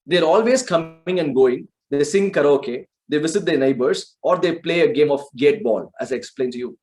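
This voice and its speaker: A man with an Indian English accent giving a motivational book review in an earnest way, addressing listeners as friends. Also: fairly high pitch. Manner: checks in with okay and right. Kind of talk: talk-style book review.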